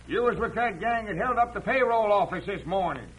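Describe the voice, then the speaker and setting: An actor playing a blunt, stubborn Old West sheriff with an American Western accent in an old radio drama. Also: male voice, high-pitched, brisk, a bit bright, slightly rough, low-quality recording. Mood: stern. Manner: blunt, commanding, folksy drawl.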